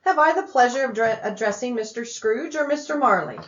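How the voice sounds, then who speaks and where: A woman playing a courteous, earnest charity gentleman in a family radio drama, with a formal, polite manner. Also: a little high, expressive, brisk, highs cut off.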